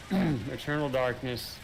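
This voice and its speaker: A man's voice: medium pitch, slow pace, creaky, background noise. A man chatting casually while gaming, hesitant and full of fillers as he thinks aloud. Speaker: gamer co-op player.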